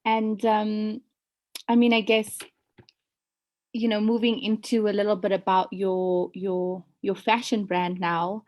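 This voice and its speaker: Woman magazine interviewer, polite, halting questions padded with fillers. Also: mid-range voice, flat delivery, a little mellow. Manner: polite, hesitant questions with "you know" and "um".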